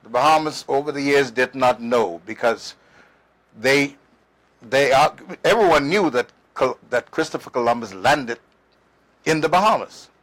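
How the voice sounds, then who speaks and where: A man's voice: mid-range voice, a little slow, fairly bright tone, rough recording. A man, a guest historian explaining at length in a conversational interview, emphatic, with fillers and restarts. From Turks and Caicos Islands.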